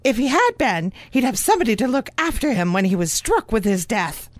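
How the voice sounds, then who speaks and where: A brazen, self-serving scavenger woman, played by an actress in a radio drama. She is scornful and animated, with a fairly high voice in a dry recording. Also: brisk, dry recording. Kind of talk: audio drama acting.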